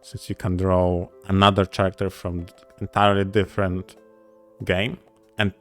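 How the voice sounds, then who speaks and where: A man's voice: low-pitched, level tone, normal speed, a bit bright. A man co-hosting a casual podcast, effusive and emphatic in the way he agrees and reacts. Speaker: podcast co-host. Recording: music playing behind the voice. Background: background music.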